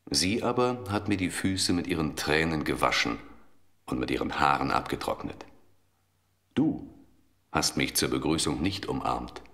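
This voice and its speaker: Biblical teacher for audio drama, extremely low, calm, deliberate, slow delivery. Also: male voice, heavily acted. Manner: solemn, deliberate. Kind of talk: German religious audio drama.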